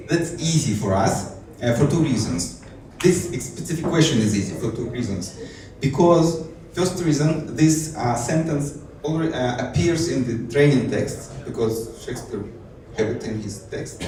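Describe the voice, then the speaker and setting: Guy giving a conference talk, lots of ums and restarts, mellow voice, far off in a big echoey room. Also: mid-range voice, lively intonation, unhurried. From Israel. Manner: hesitant, many fillers and restarts, light self-amused laughs. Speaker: conference speaker. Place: conference hall. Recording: echoey hall, distant mic, faint noise.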